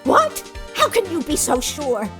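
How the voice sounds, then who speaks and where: A woman's voice: sits high, huge pitch swings, measured pace, a bit bright, faint music behind, heavily acted. A woman voicing a cantankerous old female security guard in a courtroom game, scolding and blustering with indignant stammers. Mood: outraged. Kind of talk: dubbed courtroom comedy game acting.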